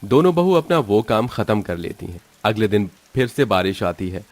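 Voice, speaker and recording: A man's voice: fairly low pitch, very animated, moderate pace, a little mellow, no echo. A man giving scripted narration of a story as its narrator.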